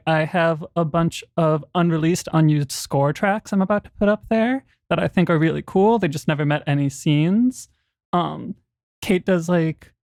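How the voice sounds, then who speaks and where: A man's voice: on the high side, no room sound, studio quality. A podcast co-creator and composer chatting casually and joking with his co-hosts. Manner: relaxed, joking.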